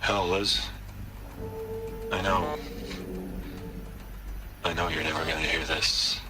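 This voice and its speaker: An actor playing a wry man mourning an old colleague in a dramatic video game, under loud music. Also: sits low, steady tone, takes it slowly. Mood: bitter affection.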